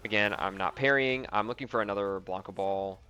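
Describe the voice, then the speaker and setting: Bright-voiced man thinking aloud. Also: fairly low pitch, fairly quick, clear, resonant tone. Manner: thinking aloud with many ums and likes. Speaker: fighting game player reviewing his own match. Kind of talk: casual match commentary.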